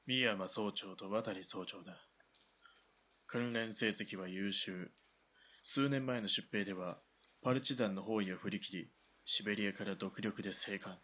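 A man's voice: lowish voice, unhurried, pushed and bright, breathy edge, full character voice. A man playing a stern Japanese army lieutenant colonel in a radio drama, curt and commanding. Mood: firm. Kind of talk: hobby radio drama acting.

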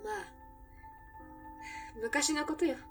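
A woman's voice: high voice, slow pace, far from the mic, soft background music. A woman playing a wistful, reflective cafe owner remembering a lost love in a Japanese audio drama. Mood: wistful, nostalgic. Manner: reflective, feminine, emotive storytelling.

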